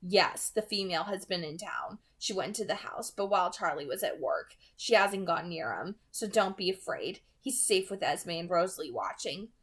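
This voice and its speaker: A woman's voice: on the low side, lively intonation, moderate pace, hardly any pauses, slightly rough. A woman reading a protective, devoted young man. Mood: reassuring. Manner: soft, reassuring, earnest. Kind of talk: audiobook-style character reading.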